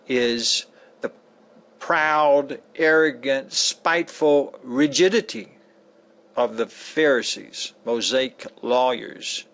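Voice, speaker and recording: Man voicing solemn holy teacher, slow and deliberate, preachy, admonishing, widely swinging intonation, dramatic lecture reading. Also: medium pitch. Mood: earnest teaching.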